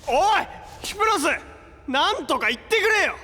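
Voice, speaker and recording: A man's voice: very high voice, sing-song, moderate pace, sharp tone, full character voice. A man playing a brash, hot-blooded young superhero in a Japanese audio drama, with cocky, slangy, exclamatory delivery. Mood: bewildered, frustrated. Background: prominent music.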